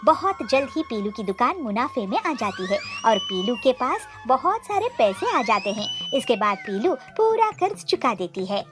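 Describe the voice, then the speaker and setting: High-pitched, animated woman storyteller. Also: normal speed, hardly any pauses, music in the background. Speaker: narrator. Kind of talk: scripted children's story narration.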